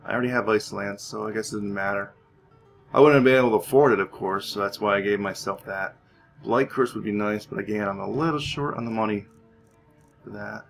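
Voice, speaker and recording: A man's voice: on the low side, a little fast, gravelly, soft background music. A man giving roleplay commentary on a video game, thinking aloud as he plays.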